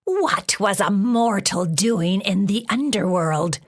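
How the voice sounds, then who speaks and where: A woman voicing a stern, scratchy-voiced female monster in a storybook, speaking slowly with cold menace. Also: medium pitch, lively intonation, voice pushed up. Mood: menacing.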